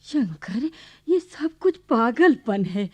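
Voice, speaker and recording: A woman's voice: fairly high pitch, sing-song, slow, heavily acted. A woman acting a weary, stubborn old village grandmother in a radio play. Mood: weary, exasperated. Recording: dull archival radio recording.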